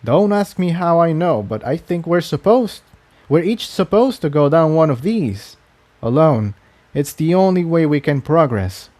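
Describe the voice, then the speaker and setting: A man voicing a modest young male unicorn pony, soft-spoken and earnest, in a storybook reading. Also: on the high side, big pitch swings, measured pace, no room sound, pitched above the performer's own voice.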